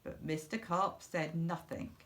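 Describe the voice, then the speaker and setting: A woman reading a children's story aloud in a deliberate, expressive narrating style. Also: fairly low pitch. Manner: deliberate, expressive, with repeated phrases stressed. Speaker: storybook reader.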